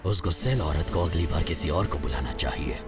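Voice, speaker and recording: A man's voice: sits low, lively intonation, brisk. A man voicing a blunt, grumbling and puzzled rescue robot in a dubbed cartoon. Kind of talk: animated series dub. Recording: loud music behind the voice. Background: music.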